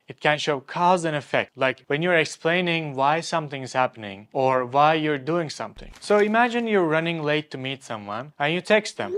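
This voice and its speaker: A young man with a slightly raised pitch teaches in an upbeat, clear way. He is a native Turkish speaker running a scripted online lesson, and he slows right down to spell out example phrases. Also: a bit bright.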